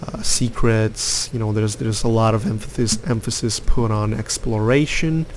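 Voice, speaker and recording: A man's voice: lowish voice, steady tone, normal speed, slight background noise. A young man from Lithuania giving rambling, opinionated commentary over his gameplay, with lots of fillers. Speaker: gaming youtuber.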